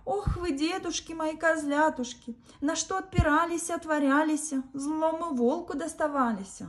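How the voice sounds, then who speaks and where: Lady doing a sweet mama goat singing her little call slow and lilting. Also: high-pitched, pitched above the performer's own voice. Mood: lamenting. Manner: sing-song chanting, drawn-out. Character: caring mother goat, tender then grieving.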